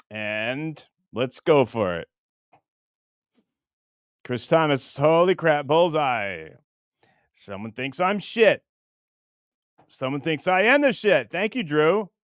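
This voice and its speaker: A man's voice: on the high side, animated, slow, long pauses, no echo, clean studio sound. A man hosting a livestream in casual chat, reading out comments and rambling in a self-deprecating way. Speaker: livestream host.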